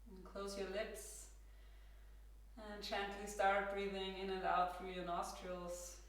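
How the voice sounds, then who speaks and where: A woman with an Austrian accent, a native German speaker, leading a guided yoga class with calm, gentle instructions. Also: medium pitch, takes it slowly, distant mic. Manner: calm, gentle cueing with soft laughs. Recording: roomy echo, from across the room.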